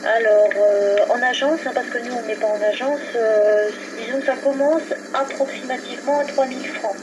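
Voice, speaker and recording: Higher-pitched woman operator, noisy phone recording. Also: fairly even intonation, normal speed. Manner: matter-of-fact, explanatory. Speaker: funeral helpline operator. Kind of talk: customer service phone conversation. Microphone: telephone line. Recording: noisy low-quality telephone recording from 2001.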